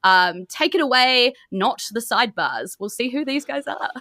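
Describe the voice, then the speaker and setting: A woman with a New Zealand accent, excitedly hosting a podcast as game master in a casual chatty style. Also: on the high side, normal speed, dry recording. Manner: excited, hyped. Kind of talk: podcast introduction, casual chat.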